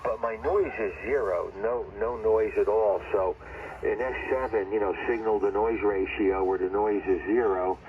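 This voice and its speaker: A man with a fairly low voice comes through a dull, slightly noisy radio link. He talks as a ham operator in a casual, rambling way, often restating what he has just said. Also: steady tone, normal speed. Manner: rambling, conversational, repeats himself. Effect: shortwave radio.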